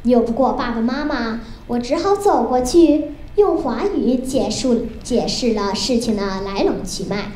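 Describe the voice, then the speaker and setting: A young girl reciting a rehearsed funny story on stage in a careful, expressive storytelling manner. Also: on the high side, moderate pace. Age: child or young teen. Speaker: student storyteller. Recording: roomy with faint noise.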